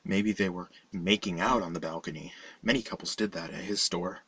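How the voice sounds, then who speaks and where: A man reading a scripted horror story as narrator, in a measured, dramatic storytelling manner. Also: low-pitched, fairly even intonation, a bit bright, husky, far from the mic. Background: music.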